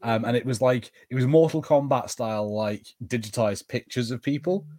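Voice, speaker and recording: A man's voice: mid-range voice, moderate pace, warm tone. A man chatting and explaining things casually as a co-host on a movie watch-party podcast.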